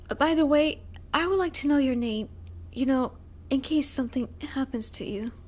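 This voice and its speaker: A woman acting an obsessive, possessive scientist in an audio roleplay, coaxing the listener with drawn-out words and breathy drops toward a whisper. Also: sits high, a little mellow. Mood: feigned innocence.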